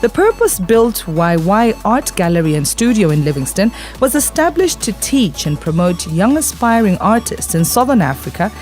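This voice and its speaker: A woman's voice: mid-range voice, big pitch swings, moderate pace, few pauses, a little mellow, soft background music. A woman reading scripted broadcast narration as a business reporter.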